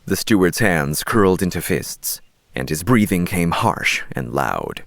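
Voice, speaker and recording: A man's voice: sits low, very animated, unhurried, vocal fry, a bit bright, no echo, studio quality. A man narrating an audiobook story in a scripted storytelling style.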